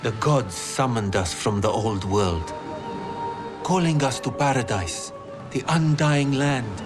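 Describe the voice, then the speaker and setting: An actor playing a grave, wise scholar of an ancient desert people in a fantasy game, speaking in a measured, solemn and formal way over music. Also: male voice, mid-range voice, lively intonation. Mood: solemn, mournful. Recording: loud music behind the voice.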